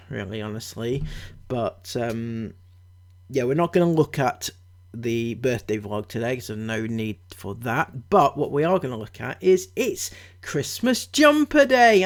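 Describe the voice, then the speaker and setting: Sarcastic commentary vlogger with a cold. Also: male voice, medium pitch. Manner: mocking, chatty, full of fillers and asides. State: has a cold.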